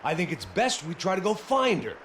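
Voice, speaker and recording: Casting: terse game hero, highish voice, hugely varied pitch, clear background noise. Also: male voice, big pitch swings, a little fast, fairly bright tone. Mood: urgent. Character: urgent male action hero in the field. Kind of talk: video game dramatic dialogue. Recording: noisy game action behind.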